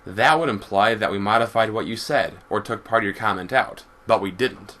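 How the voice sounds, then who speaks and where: A man delivering scripted response commentary as a YouTuber, articulate and argumentative in a measured way. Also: on the low side, fairly bright tone.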